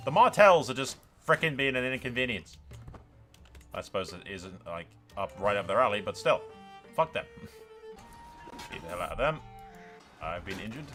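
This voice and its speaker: A man's voice: mid-range voice, bright, forceful tone. A man hosting a gaming video, giving casual, improvised commentary that drifts into dramatic storytelling, sweary and speaking straight to viewers.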